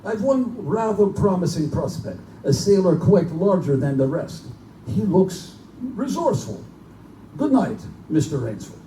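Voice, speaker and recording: A man's voice: high-pitched, sing-song, measured pace, dark tone. A man playing a genteel, pompous general who hunts men for sport, in a live theatrical horror drama. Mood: urbane, bored. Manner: urbane, pompous, condescending. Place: live performance in a bookstore. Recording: distant, echoey live room recording with noise.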